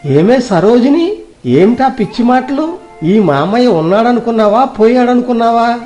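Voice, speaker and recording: A man's voice: extremely high pitch, very animated, moderate pace, dark tone, faint music behind. A man playing a rich, trusting, affectionate older village uncle in a dramatized moral story, speaking in a sentimental, effusive way. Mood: scolding, protective.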